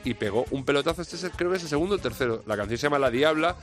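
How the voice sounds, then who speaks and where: Fast-talking Madrid man on radio. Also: on the high side, lively intonation, soft background music. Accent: Madrid Spanish. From Madrid, Spain. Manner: chatty, playful. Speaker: radio music presenter. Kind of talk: casual radio chat.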